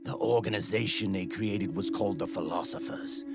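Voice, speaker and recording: An actor playing a grandiose, menacing older villain in a video game cutscene, delivering a slow monologue to his brother. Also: fairly low pitch, gravelly, over loud music. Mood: scheming. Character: brooding older male villain, Snake's brother.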